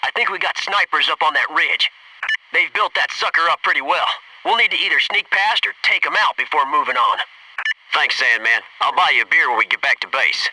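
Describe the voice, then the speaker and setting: An actor playing a focused, businesslike Navy SEAL in a military video game, giving terse, clipped tactical callouts and matter-of-fact reports. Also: male voice, on the high side, lively intonation, fairly quick, far from the mic, background noise, close to an everyday voice. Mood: alert, cautioning, then comradely, brisk.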